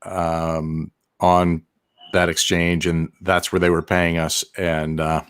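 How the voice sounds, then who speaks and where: A man co-hosting a casual interview, halting with many fillers and a joking manner. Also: deep voice, flat delivery, clean tone. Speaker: co-host and interviewer.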